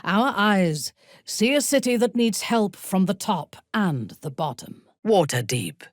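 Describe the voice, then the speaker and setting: An actress playing a cold, ruthless dark elf warrior-priestess in a video game, haughty and deliberate, with a breathy, husky voice. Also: female voice, mid-range voice, animated, no room sound, studio quality, close to an everyday voice. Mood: imperious. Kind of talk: video game character dialogue.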